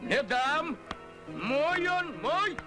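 An actor playing a soft-hearted male wolf in an old puppet cartoon, drawling and emotional. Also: very high-pitched, lively intonation, very slow, music in the background, exaggerated voice. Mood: protective. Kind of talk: Soviet puppet cartoon voice acting.

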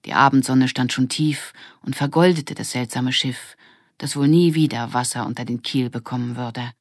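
Low-voiced woman narrating a children's audiobook. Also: steady tone, normal speed, no echo, studio quality. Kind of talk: scripted children's story narration.

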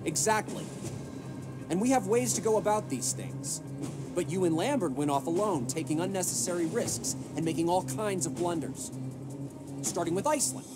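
An actor playing an accusatory American government official, formal and prodding, in a video game. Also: male voice, sits high, expressive, normal speed. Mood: reproachful. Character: accusatory male government official, smug and pressing. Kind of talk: cinematic video game acting. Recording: music behind the voice.

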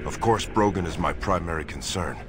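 A man playing an earnest, grave dwarven nobleman in a fantasy game, speaking in a solemn, formal, measured way. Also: sits low, close to an everyday voice. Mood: earnest, determined. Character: earnest, grave dwarven nobleman seeking his lost brother. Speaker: video game character narrating and in dialogue. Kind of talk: dramatic scripted game dialogue and narration. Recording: game audio under loud music.

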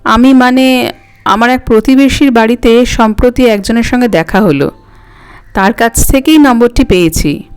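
A woman voicing a polite, hesitant elderly man on the telephone in an audio story, halting and quavery, with music behind. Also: a little high, smooth, close to an everyday voice. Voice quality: quavering, aged. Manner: halting, roundabout, courteous.

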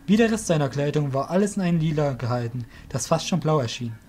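A man reads scripted narration for a fantasy audio play. His voice sits somewhat high for a male. Music plays behind his storytelling. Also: lively intonation, fairly quick, darkish tone.

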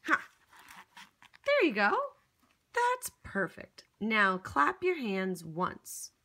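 A woman's voice: mid-range voice, wildly swooping pitch, extremely slow, background noise. A woman reading a picture book aloud to young children, theatrical and encouraging, with exaggerated gasps and exclamations. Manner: encouraging, coaxing, addressing the child listener. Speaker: children's storybook reader.

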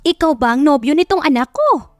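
A nosy, excitable middle-aged mother in an audio drama as a female narrator plays her. Her voice is high and suspicious. Music plays behind, with a roomy echo. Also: fairly quick, clean studio sound. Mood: curious, astonished.

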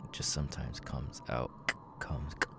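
A man's voice: very deep voice, flat delivery, normal speed, gravelly, noisy background, heavily acted. A man playing an unhinged young killer with tics and a stutter, stammering, muttering and yelping out sudden words in an ASMR audio roleplay. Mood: troubled, frustrated. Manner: stammering, sudden yelped outbursts, muttered asides.

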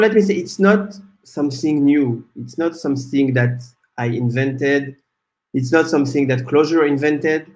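A man in his forties from France, giving a talk on a video call with many "uh" pauses. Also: mid-range voice. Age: 40s. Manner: measured, frequent "uh" fillers. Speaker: guest speaker, software developer. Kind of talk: conference talk presentation. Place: small room at home. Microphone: video call webcam.

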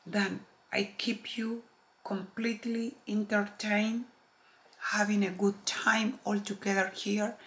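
A middle-aged woman from Chile with a strong Chilean Spanish accent, a makeup artist speaking slowly and softly to camera, often dropping to near-whispers. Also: mid-range voice, rough recording. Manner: slow, soft-spoken, drops to near-whispers, earnest. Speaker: makeup artist and beauty vlogger. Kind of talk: makeup tutorial chat to camera. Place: home studio with shelves of products, indoors. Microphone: camera close-up, upper body framing.

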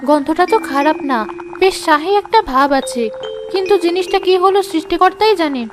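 Casting: anxious young cartoon housewife played by a woman, very high voice, timid and fretful, music underneath. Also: lively intonation, moderate pace. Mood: nervous, unsure. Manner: fretful, self-doubting. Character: anxious young daughter-in-law, timid new cook. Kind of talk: cartoon story voice acting.

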